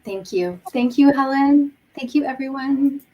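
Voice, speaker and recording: A woman's voice: sits high, a little slow, a little mellow, highs cut off. A woman hosting a livestream, gushing with praise and reading viewer comments aloud. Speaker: host. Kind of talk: livestream talk show chat.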